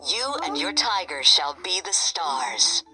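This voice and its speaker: A woman voicing a formal male parade master with a deep voice in a storybook read-along. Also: sing-song, slow, over music, poor audio. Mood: apologetic.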